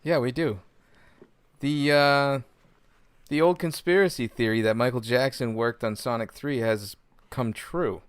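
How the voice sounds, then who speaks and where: A man's voice: medium pitch, unhurried, smooth, dry recording. Male podcast co-host, clean resonant voice, casual delivery, close dry recording. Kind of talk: casual chat and gaming news segment.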